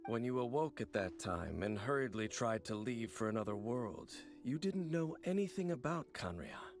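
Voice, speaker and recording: An actor playing a composed, secretive, knowing man in an anime-style video game, speaking in a measured, solemn, formal way. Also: mid-range voice, very animated, over music. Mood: pondering.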